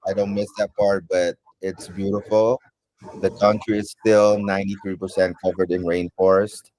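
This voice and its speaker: A man from Suriname whose first language is Dutch, answering interview questions in an unhurried way, with many ums and pauses. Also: low voice, level tone, darkish tone, rough recording.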